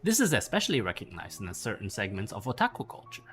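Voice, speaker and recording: A man reading a scripted explanatory essay aloud in a measured way, stressing the openings of sentences. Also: fairly low pitch, wildly swooping pitch, a bit bright, faint music behind. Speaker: narrator reading a bedtime-story style essay. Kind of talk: scripted narration read aloud.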